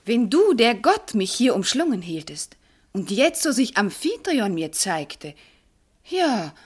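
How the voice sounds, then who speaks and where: An actress playing a devout, loving and bewildered wife, speaking classical verse fervently and hesitantly in a radio drama. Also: female voice, a little high, big pitch swings, takes it slowly, slightly rough, full character voice. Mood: sorrowful, tender.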